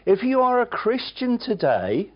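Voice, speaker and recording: Slow, highly animated preacher. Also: husky, lo-fi sound. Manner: emphatic preaching with chatty asides. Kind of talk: sermon.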